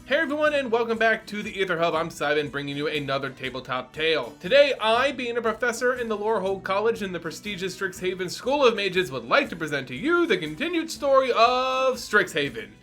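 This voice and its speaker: A man hosting and narrating a fantasy story in a theatrical, expressive scripted reading. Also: high voice, a little fast, hardly any pauses. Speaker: host and storyteller. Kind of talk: dramatic scripted narration with channel intro. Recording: music under the voice. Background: background music.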